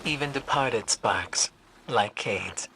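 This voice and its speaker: Guy playing grave commanding game mentor, medium pitch, measured delivery, clear background noise. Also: lightly acted. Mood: solemn, encouraging. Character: solemn commanding mentor guiding a guardian warrior. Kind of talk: video game cutscene acting.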